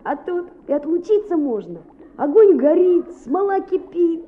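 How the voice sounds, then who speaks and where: Naughty chatty schoolboy for a radio play, pretty high voice, old muffled tinny audio. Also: female voice, a little slow. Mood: sly, self-satisfied. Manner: expressive, boyish storytelling. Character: mischievous, chatty schoolboy, cheeky and artful. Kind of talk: radio play narration and dialogue. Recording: muffled, narrow-band old radio recording.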